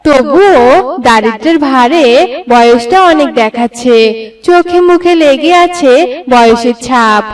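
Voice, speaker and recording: A woman's voice: high-pitched, distant mic, low-quality recording. A woman narrator reading a scripted story for children.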